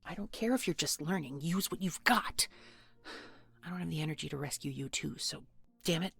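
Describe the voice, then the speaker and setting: A woman plays an irritable rescuer creeping through enemy halls in an audio drama. The voice is husky and hushed, with gravelly edges. Orders come out terse and impatient. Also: low voice, moderate pace, no echo, exaggerated voice. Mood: urgent, irritated. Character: bossy, irritable rescuer sneaking through a griffin palace. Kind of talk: audio roleplay acting.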